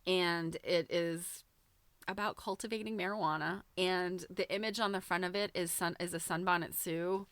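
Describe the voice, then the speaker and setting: A woman host chatting casually on a livestream in an informal, halting way, thinking aloud as she goes. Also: lowish voice, steady tone, fairly bright tone, no room sound. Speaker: host of a live quilting book show. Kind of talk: casual livestream chat, commenting while browsing a book.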